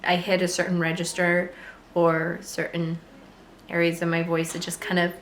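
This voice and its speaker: A woman vlogger chatting casually about her knitting, her voice still a little hoarse as it recovers, rambling with fillers and light laughs. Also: lowish voice, completely flat delivery, normal speed, echoey room, a little hiss or hum. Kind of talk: casual chat to camera.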